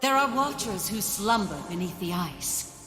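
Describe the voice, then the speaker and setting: Ancient sun goddess, proud and solemn, video game character, deliberate slow delivery, long hall reverb, faint noise. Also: female voice, medium pitch, lively intonation, fairly bright tone, soft background music. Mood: grave warning. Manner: stately, grandiose, deliberate.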